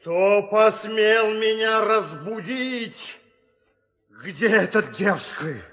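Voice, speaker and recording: Casting: lumbering, drowsy demon brute, bloodthirsty, slow, slightly high voice, reverberant radio drama. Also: male voice, fairly bright tone, full character voice. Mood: grumpy. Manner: slow, grumbling. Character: sleepy, bloodthirsty giant male demon, lazy and grumpy. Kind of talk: old radio play acting. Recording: long reverb, old studio drama recording.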